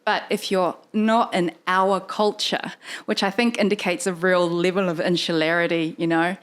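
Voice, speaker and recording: A woman hosting a podcast, asking questions in a lively, chatty way with quick asides. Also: fairly low pitch, clean tone. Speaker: podcast host. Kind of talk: casual interview chat.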